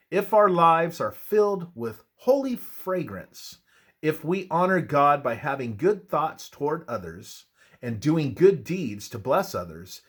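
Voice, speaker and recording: A man reading a scripted religious text aloud in a solemn, measured, preacherly way, as in devotional narration. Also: fairly high pitch, a bit bright, husky.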